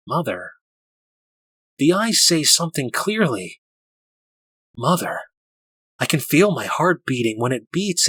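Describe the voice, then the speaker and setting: Audiobook role: awestruck, scared child played by a man, halting delivery, bright tone, very dry sound. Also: a little high, husky, no room sound, exaggerated voice. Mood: sorrowful awe. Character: frightened, awestruck child having a hallucinatory vision. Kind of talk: dramatic first-person audiobook narration.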